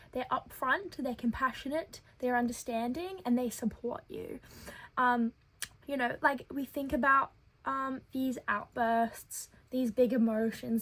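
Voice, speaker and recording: A young woman with a childlike head voice, telling her own story in an earnest, thoughtful vlog with frequent filler pauses. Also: high-pitched, slightly rough. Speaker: web series creator.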